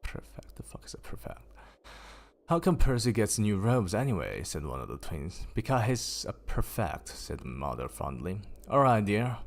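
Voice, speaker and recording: A man streaming a casual story reading, raising his pitch for the characters and stopping to laugh and swear at typos. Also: very animated, moderate pace, vocal fry. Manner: lifts pitch for quoted characters. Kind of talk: casual livestream book reading.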